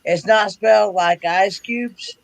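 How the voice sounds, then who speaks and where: A man chatting casually as a guest on a livestream, halting, with lots of uh and um. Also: high-pitched, gravelly, no room sound. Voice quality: halting, full of uh and um. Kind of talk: casual conversation.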